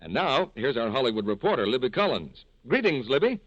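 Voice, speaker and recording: Lively male 1940s radio announcer, dry muffled sound. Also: medium pitch, brisk, no room sound. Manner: polished, folksy sales patter. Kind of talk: scripted 1940s radio sponsor banter. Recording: dull old 1940s radio recording.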